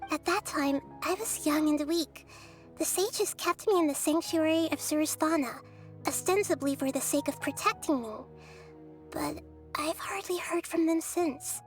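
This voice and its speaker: A woman voicing a wise, humble young girl deity in a video game, speaking softly and earnestly in measured, formal phrases. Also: sits high. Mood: wistful, humble. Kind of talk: scripted video game character dialogue. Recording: music under the voice.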